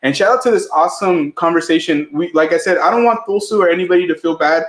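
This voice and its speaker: Guy cohosting a live podcast, kinda high voice, rambly with lots of "you know", echoey room. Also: fast talker. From Mexican family. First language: Spanish. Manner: chatty, filler-heavy, restarts often. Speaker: podcast co-host.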